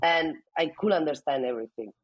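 A woman's voice: low voice, a little fast, dry recording. A woman, talking casually in an interview about her family, with many restarts and "I mean"s. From Spain. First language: Spanish. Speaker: interviewee, mother.

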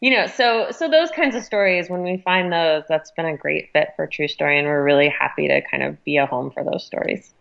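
A woman's voice: low-pitched, brisk, vocal fry, hardly any pauses, fairly bright tone, dull audio. A woman speaking as a magazine editor in a conversational interview, explaining things thoughtfully.

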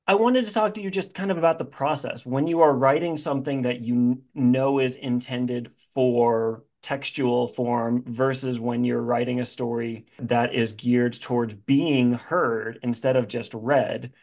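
A man's voice: mid-range voice, steady tone, measured pace, few pauses, darkish tone. A man hosting a podcast, chatting casually and effusively in an informal interview style. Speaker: podcast host.

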